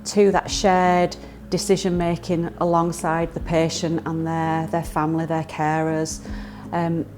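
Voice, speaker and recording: A woman's voice: low-pitched, completely flat delivery, measured pace, darkish tone, a little hiss or hum. A woman physiotherapist talking about her work, reflective and earnest, with some fillers.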